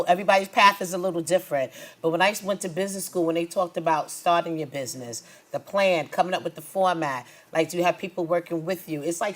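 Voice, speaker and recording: A woman's voice: fairly low pitch, a bit bright. A woman speaks as the host of a small talk show. She is chatty and encouraging, with a conversational way of asking questions and affirming what she hears. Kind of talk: conversational small business interview. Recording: small studio audience present.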